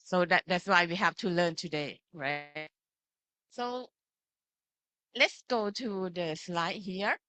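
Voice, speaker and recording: Slow, bright-voiced Thai-accented woman lecturer. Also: fairly low pitch, smooth, no echo, faint music behind. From Thailand. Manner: simple phrasing, often checking with "right?" and "okay". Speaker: pharmacy lecturer. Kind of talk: interactive online lecture with slides.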